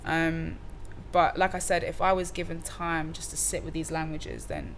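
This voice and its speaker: A woman's voice: low-pitched, little pitch movement, normal speed, vocal fry, slight background noise. A young woman from Cardiff, chatting casually in an interview with loose slang, fillers and restarts. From Cardiff, Wales. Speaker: musician guest.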